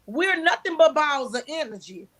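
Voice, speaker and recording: A woman in her forties with a loud, big voice, talking candidly and emphatically in a conversation. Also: sits high, animated, normal speed. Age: 40s. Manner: emphatic, preaching tone.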